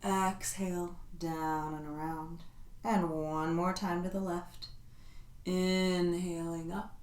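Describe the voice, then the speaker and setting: A woman yoga teacher talks students through poses and breathing in an unhurried, soothing manner, her voice sitting a little low. She sounds set back from the mic, so the room's echo rings around her cues. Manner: calm, soothing step-by-step cues. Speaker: yoga instructor. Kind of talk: guided yoga class instruction. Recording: roomy echo, voice from a distance.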